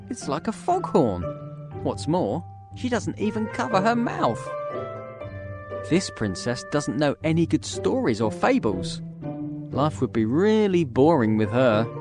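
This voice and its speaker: A man acts out a picky young prince from a children's tale. His fairly high voice moves in lively swings as he frets and complains about finding true love. Music plays clearly behind him. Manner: fussy, complaining. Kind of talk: scripted children's story dialogue.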